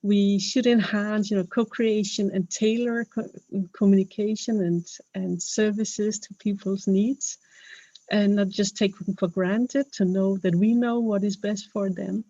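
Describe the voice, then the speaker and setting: A woman's voice: mid-range voice, fairly even intonation, measured pace, mellow, no echo, dull audio. A woman from Denmark with a Danish accent, speaking English as a second language in a webinar talk, earnest and hesitant, with many fillers and restarts. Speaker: webinar speaker, health professional.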